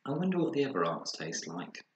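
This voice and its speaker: An excitable young boy bear from a storybook, acted out by a man, curious and greedy, full of childlike exclamations. The voice swings widely in pitch and sounds in an echoey room. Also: a little fast, a little hiss or hum. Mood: pleased, curious.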